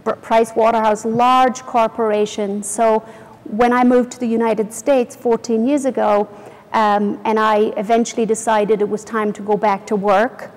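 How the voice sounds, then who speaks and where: A woman's voice: a little high, flat delivery, a little slow. Chatty Irish woman in a roomy space. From Ireland or UK. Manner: chatty, self-deprecating, many restarts. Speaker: company founder, guest. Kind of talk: casual interview storytelling. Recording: reverberant room.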